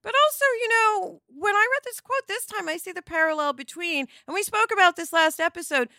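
A woman's voice: very high-pitched, a little fast, sharp tone, no room sound, clean studio sound. A woman co-hosting a podcast in a conversational style. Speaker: podcast co-host.

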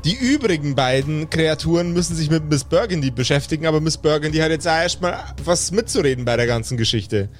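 A man's voice: a little high, expressive, a little fast, hardly any pauses. A man narrating an improvised tabletop game as game master, describing the action in detail as he makes it up. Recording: music playing behind the voice. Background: background music.

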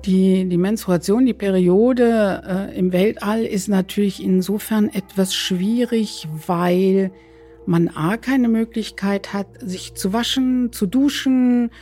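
A woman's voice: medium pitch, takes it slowly, warm tone. A woman giving explanatory interview answers as an expert, hesitant and searching for words. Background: background music.

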